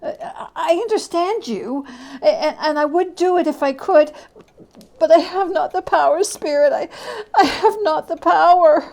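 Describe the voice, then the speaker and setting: A woman's voice: extremely high pitch, normal speed, darkish tone, pitched above the performer's own voice. A woman playing a frightened old miser who stammers and implores, in a dramatic storybook reading. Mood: anguished pleading.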